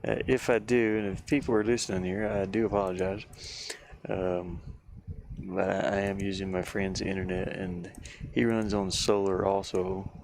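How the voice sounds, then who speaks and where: A man chatting casually on a livestream while he works, in a halting, rambling, easygoing manner. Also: deep voice, little pitch movement, a little mellow. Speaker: livestreamer, hobby game artist. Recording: clear background noise, live stream.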